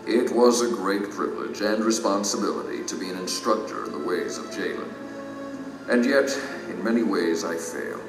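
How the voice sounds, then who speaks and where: A humbled old teacher turned lowly plumber, confessing his failures with solemn grief. His voice is very low and rings through a big echoey hall over music, in the manner of an allegorical audio drama. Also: normal speed, recorded from a distance. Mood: earnest humility.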